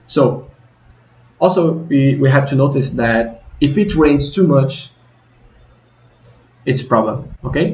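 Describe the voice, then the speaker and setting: A man's voice: mid-range voice, a little mellow. Young Brazilian man lecturing, muffled roomy sound. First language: Portuguese. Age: young adult. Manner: halting, repeats phrases, frequent "okay" and "all right" tags. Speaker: student researcher giving a tutorial. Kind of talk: informal slide presentation lecture. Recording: dull lo-fi, roomy echo.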